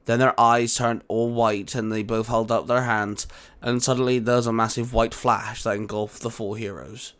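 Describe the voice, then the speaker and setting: Mid-pitched man narrating fan fiction. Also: level tone, normal speed, a bit bright, clear, resonant tone.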